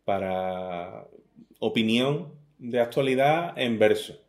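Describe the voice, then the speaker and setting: A man's voice: medium pitch, lively intonation, takes it slowly. A middle-aged man with an Andalusian Spanish accent, a poet giving an interview, joking about himself and reading his sonnets aloud. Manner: self-deprecating, joking, restarts words often.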